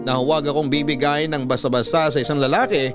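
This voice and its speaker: Higher-pitched male storyteller over music. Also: steady tone, fast talker. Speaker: radio host reading a listener's letter. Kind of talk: first-person storytelling narration, scripted.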